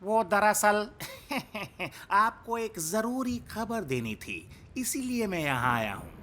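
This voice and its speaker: A man's voice: high-pitched, sing-song, slow, bright, forceful tone, exaggerated voice. A man voicing a smug, scheming male court villain in a cartoon dub, with an insinuating, theatrical way of talking. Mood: sly, menacing.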